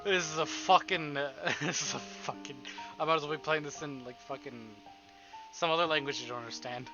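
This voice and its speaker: A man with a slightly high voice gives casual live commentary on a visual novel. He jokes and swears freely. The sound is a little dull, with music clearly behind him. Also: normal speed. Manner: sweary, joking. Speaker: streamer.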